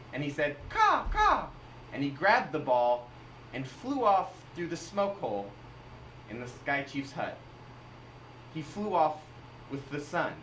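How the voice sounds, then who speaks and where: A man telling a folktale aloud as an amateur storyteller, in a dramatic, deliberate manner, recorded in an echoey space. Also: a little high, a bit bright. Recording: echoey, distant mic, faint noise.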